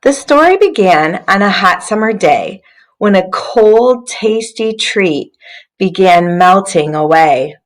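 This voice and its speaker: A woman's voice: medium pitch, animated, slow pace, rough recording. A woman literacy coach leading an interactive read-aloud for young children, instructive, prompting listeners with questions.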